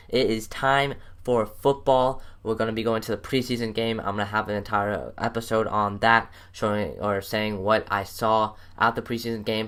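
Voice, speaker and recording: A man's voice: on the low side, fairly bright tone, clean tone. An American man hosting a sports show in a casual, conversational interview style.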